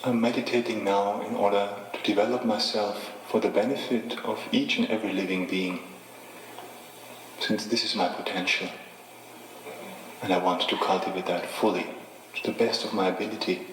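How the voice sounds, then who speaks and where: Deep-voiced man guiding meditation, echoey room. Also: fairly even intonation. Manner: unhurried, gently instructing with pauses. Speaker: meditation teacher. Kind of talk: guided meditation. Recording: echoey reverberant room with faint noise.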